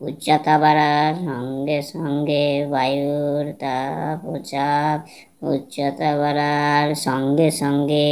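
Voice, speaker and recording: Low-toned harried cartoon schoolkid, voiced by a woman. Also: darkish tone, smooth. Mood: drowsy reciting. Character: harried, grumbling schoolchild cramming for exams. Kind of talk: amateur cartoon comedy voice acting.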